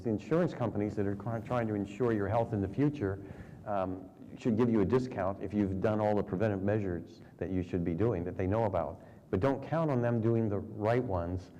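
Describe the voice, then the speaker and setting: A man's voice: fairly low pitch, dark tone, dull audio. A man giving direct, opinionated answers on a conference panel, speaking informally in an echoing hall. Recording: reverberant hall with faint background noise.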